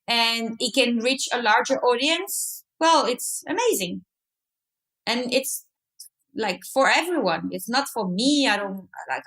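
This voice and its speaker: A woman from France with a mixed French accent, talking expressively in halting non-native English as an interview guest. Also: on the high side, fairly bright tone, rough recording. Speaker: podcast guest, movement artist. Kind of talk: casual conversational interview.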